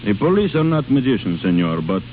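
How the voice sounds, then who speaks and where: An actor playing a dry, formal Italian police official in 1930s radio style. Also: male voice, medium pitch, sing-song, fairly quick, close to an everyday voice. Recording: tinny noisy vintage radio broadcast.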